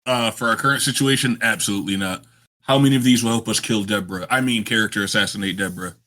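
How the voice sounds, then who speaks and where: Bright, blunt male gamer. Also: on the low side, quick pace. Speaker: tabletop game player. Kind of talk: casual in-game banter.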